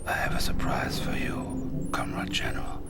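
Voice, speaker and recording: An actor playing a menacing mind-controlling villain in game cutscenes, slow, sinister and gloating, with a gravelly, whispery voice. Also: male voice, natural voice. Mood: sinister, coaxing. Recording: music behind the voice.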